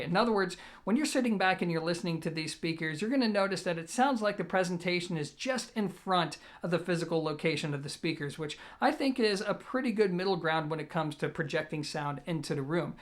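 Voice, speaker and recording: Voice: mid-range voice, fairly bright tone. A video host presenting an audio gear review in a semi-scripted, informal way, addressing viewers directly and leaning on the opening words of each point.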